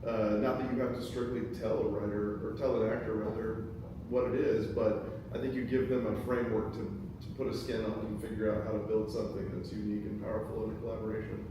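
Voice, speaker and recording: A man's voice: lowish voice, level tone, fast. Distant man in a very echoey room. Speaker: panelist. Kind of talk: casual panel discussion. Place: echoey indoor room at a table read event. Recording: very echoey room, distant mic, background noise.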